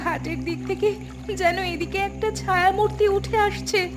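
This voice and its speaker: A woman's voice: very high voice, fairly even intonation, measured pace, over music. A woman voicing a devoted, fearful young bride in a cartoon melodrama, anxious and girlish. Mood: uneasy devotion. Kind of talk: Bengali cartoon melodrama acting.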